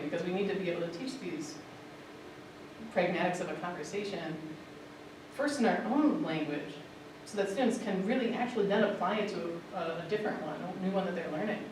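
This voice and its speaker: A woman's voice: lowish voice, a little mellow, slight background noise. A woman teacher giving a conference presentation in a casual, chatty way, with lots of "um" and "like". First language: English. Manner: casual and chatty, with many fillers like "um" and "like". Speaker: language teacher presenting a workshop. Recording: echoey room, voice from far off.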